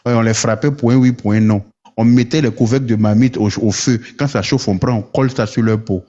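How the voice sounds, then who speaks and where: A man's voice: mid-range voice, fairly even intonation, brisk, mellow, lo-fi sound. A man from Côte d'Ivoire, stammering and repeating words while ranting at his viewers with rhetorical questions. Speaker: online commentator. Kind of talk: heated political rant to viewers.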